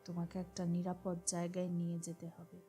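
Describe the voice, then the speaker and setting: A woman's voice: monotone, brisk, mellow, slightly rough. A woman voicing a grave, composed male police officer in an audio detective story, weighty and urgent. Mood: grave, hushed. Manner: low-key, weighty, urgent. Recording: voice over a music bed.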